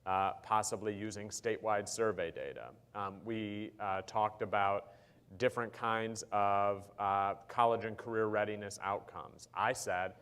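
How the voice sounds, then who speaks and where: A man on a panel, explaining policy in a measured way with frequent ums and uhs. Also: fairly low pitch, fairly even intonation, fairly bright tone, smooth. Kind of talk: panel discussion answer.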